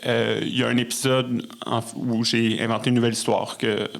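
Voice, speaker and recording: A man's voice: medium pitch, level tone, a little fast, vocal fry. A man with a Québécois French accent talking casually as an interview guest, reflective and a little hesitant. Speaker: podcast guest, musician and storyteller.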